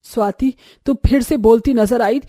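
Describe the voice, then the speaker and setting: A woman's voice: a little high, moderate pace, warm tone, no echo, studio quality, lightly acted. A woman voicing a stern, nagging, exasperated older mother-in-law in a cartoon.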